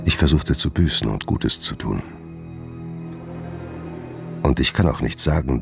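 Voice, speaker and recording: An actor playing a guilt-ridden doctor confessing his dark double life in a grave, literary, deliberate manner in a radio play. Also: rumbling low, creaky, exaggerated voice. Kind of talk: German radio play monologue.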